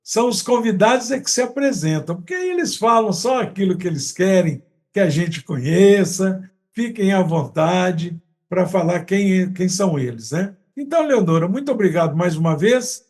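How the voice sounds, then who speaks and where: A man's voice: high voice, lively intonation, moderate pace, a little mellow. A man with a Brazilian accent hosting an interview podcast in an effusive, courteous way. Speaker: podcast host. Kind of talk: chatty interview.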